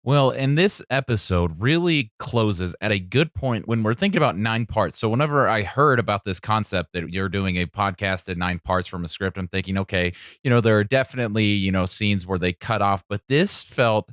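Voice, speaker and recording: A man's voice: fairly low pitch, moderate pace, hardly any pauses, a bit bright, dry recording, clean studio sound. A man hosting a casual podcast discussion, speaking conversationally. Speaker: podcast host.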